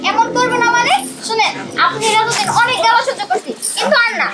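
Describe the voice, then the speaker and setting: A woman voicing a cruel, evasive young wife in a cartoon, a sharp-tongued and defiant daughter-in-law. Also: very high-pitched, fast. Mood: defiant, spiteful. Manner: sharp-tongued, sneering, colloquial. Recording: loud music under voice.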